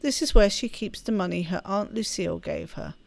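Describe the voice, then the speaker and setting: A woman reading a story aloud as expressive narration for a game playthrough. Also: mid-range voice, brisk, vocal fry, dry recording. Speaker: let's play host reading game text aloud.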